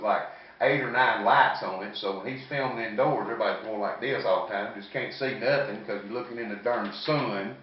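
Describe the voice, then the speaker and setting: Echoey, distant Southern man telling folksy stories. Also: medium pitch. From American South. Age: 50s. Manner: folksy, rambling, salty-tongued. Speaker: comedian. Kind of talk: stand-up comedy storytelling. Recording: echoey, distant, muffled room recording.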